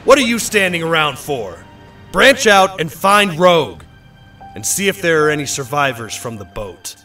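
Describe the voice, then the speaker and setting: An actor playing a cold, commanding government agent in an audio drama. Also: male voice, fairly high pitch, very animated, normal speed, sharp tone, far from the mic, soft background music. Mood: barking orders. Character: hard, callous male government agent giving orders.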